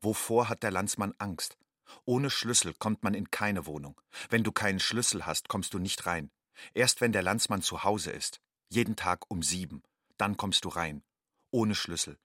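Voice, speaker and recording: A man's voice: low voice, normal speed, a bit bright, slightly rough, dry recording, clean studio sound. An actor playing a wary, rootless migrant man, delivering a matter-of-fact audio drama monologue in clipped sentences. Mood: wary, stark.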